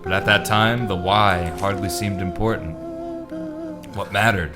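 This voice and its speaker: A man's voice: low voice, fairly even intonation, measured pace, voice pushed down. A man acting as a melodramatic reporter, reading a news exposé in a theatrical, portentous, mock-serious way. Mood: grave, portentous. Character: melodramatic male reporter narrating a sinister exposé. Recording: loud guitar music behind the voice.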